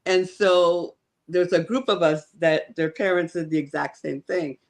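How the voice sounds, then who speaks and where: A woman's voice: fairly low pitch, steady tone, a little slow. A woman author from Cuba answering interview questions, hesitant with many ums and restarts. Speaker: author guest.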